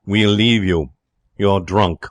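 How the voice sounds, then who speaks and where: A man's voice: fairly low pitch, very animated, normal speed, no echo. Man playing an indignant, dignified father. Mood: stern dignity. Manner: stiff, indignant. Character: indignant, dignified middle-aged father, outraged.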